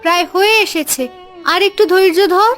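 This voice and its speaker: A woman voicing a patient young village mother in a cartoon, speaking gently and calmly. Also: extremely high pitch, moderate pace, faint music behind. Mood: gentle. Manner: gentle, calm.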